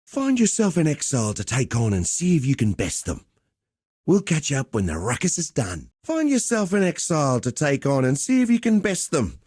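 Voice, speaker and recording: Swaggering, wildly animated arena promoter. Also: male voice, fairly high pitch, moderate pace, husky, dry recording, studio quality, heavily acted. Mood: brisk, goading. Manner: theatrical, chummy, flowery slangy patter. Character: swaggering, cheerful arena fight promoter, roguish showman. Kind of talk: video game character voice lines.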